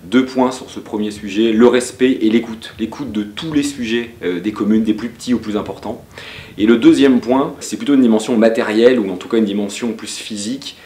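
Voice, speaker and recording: A man with a fairly low, very mellow voice answers interview questions formally, in a roomy, echoing recording. His phrasing is measured and structured, like an official's. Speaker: interviewed local government chief executive.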